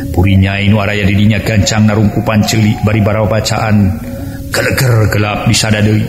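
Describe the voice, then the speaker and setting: A man's voice: lowish voice, completely flat delivery, brisk. A man with a Sundanese accent narrating a folk tale dramatically as a storyteller. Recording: echoey voice over music. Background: music.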